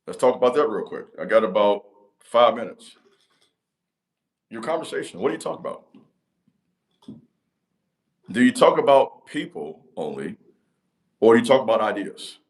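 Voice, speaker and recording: A man's voice: animated, normal speed, long pauses. A man, giving a motivational talk to camera in an emphatic, repetitive cadence. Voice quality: deep voice. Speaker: channel host.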